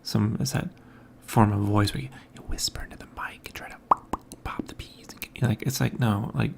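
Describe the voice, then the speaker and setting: Quiet gravelly-voiced man talking softly. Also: on the low side, fairly even intonation, fairly quick, in a whisper. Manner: quiet, soft-spoken, mumbling. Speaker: podcast host. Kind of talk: casual morning monologue. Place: home studio room. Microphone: hanging overhead mic.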